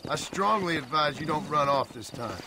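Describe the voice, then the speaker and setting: Gruff, animated gunslinger. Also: male voice, fairly high pitch, moderate pace, noisy background. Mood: impatient. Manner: curt, dry, menacing. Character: gruff, impatient, threatening Old West gunslinger. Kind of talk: dramatic video game acting.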